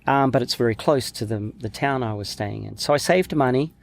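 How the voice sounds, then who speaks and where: A middle-aged man from New Zealand, a former pro snowboarder, telling stories in a relaxed interview. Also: mid-range voice, brisk, vocal fry. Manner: relaxed storytelling, trails off with fillers. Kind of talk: casual interview chat.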